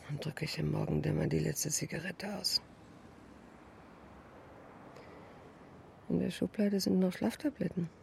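An actress playing a melancholic woman of fifty in a dramatic radio monologue, clinging to youth yet resigned, with drawn-out, expressive phrasing. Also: fairly low pitch, vocal fry, slight background noise. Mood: weary.

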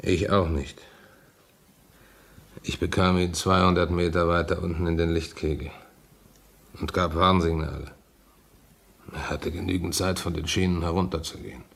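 An actor playing a shaken, grave train driver in a radio play, speaking deliberately. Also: male voice, deep voice, steady tone, vocal fry, fairly bright tone, breathy edge, exaggerated voice. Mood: shaken, defensive.

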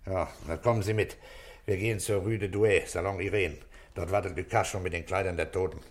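An actor playing a calm, probing police commissioner with quiet authority and terse, matter-of-fact delivery in a German radio crime play. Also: male voice, deep voice, a little fast, music in the background, lightly acted. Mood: businesslike.

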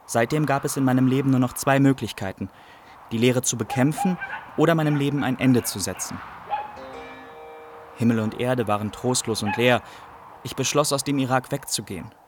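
Actor as a grave young refugee, noisy backdrop. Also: male voice, medium pitch, moderate pace, lightly acted. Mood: bleak. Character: haunted young Iraqi refugee recalling prison and despair. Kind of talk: literary radio drama narration.

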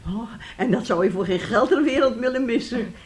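A woman's voice: a little high, brisk, close to an everyday voice. An actress playing a fussy, scheming older mother in a radio play, theatrical and effusive, repeating herself. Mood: gushing, solicitous. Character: fussy, scheming older mother, gushing then bitterly nagging. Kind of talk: Dutch radio play acting.

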